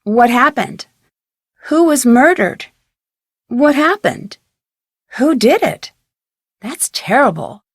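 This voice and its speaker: A woman's voice: sits high, very animated, slow, pauses often, no echo, studio quality, full character voice. A woman playing an inquisitive, polite teenage girl detective in an adventure game, with earnest scripted delivery. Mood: concerned.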